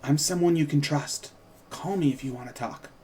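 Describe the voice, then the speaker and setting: A man plays a self-assured woman reporter in a script read-through. The voice sits fairly high and keeps an everyday, probing manner, as if questioning someone who is holding back. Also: moderate pace, darkish tone, slight background noise, natural voice. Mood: earnest.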